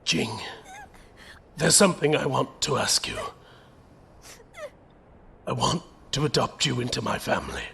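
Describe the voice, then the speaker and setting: A man playing a gentle, resolute young soldier captain who is dying, speaking weakly and haltingly in an audio drama. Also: mid-range voice, full character voice. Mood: weary, tender. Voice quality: weak, creaky, breathy pauses. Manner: halting, laboured delivery.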